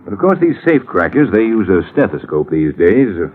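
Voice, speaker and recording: A middle-aged, smooth-talking lawyer in an old radio play. His low voice moves up and down freely as he chats in a busy, glib, folksy way. The sound is muffled vintage broadcast. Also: sing-song, fairly quick, slight background noise. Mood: evasive. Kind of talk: 1950s radio drama acting.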